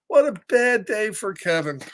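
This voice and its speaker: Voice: mid-range voice, sing-song, unhurried, fairly bright tone, a little hiss or hum. A children's storyteller reading a picture book casually, in simple repeated phrases, checking in with listeners.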